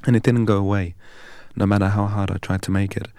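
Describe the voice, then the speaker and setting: A man from England, a guest in an interview, telling his story in a measured, deliberate way. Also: lowish voice, level tone, a little mellow, dry recording, clean studio sound. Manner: measured and deliberate, recites long strings of numbers evenly.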